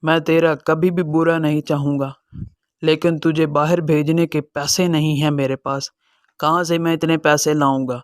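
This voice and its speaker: A man playing a gentle, sorrowful elderly father in a dramatized cartoon moral story. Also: fairly high pitch, level tone, moderate pace, smooth, dry recording, clean studio sound, close to an everyday voice. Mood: pleading, weary. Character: sorrowful, self-sacrificing elderly father, gentle with his children.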